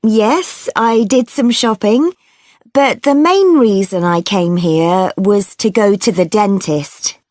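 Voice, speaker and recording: A woman's voice: medium pitch, darkish tone, no room sound, studio quality, close to an everyday voice. A woman playing a friendly, chatty bus passenger in her thirties who answers a survey in a scripted listening-test dialogue. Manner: chatty, obliging, unhurried.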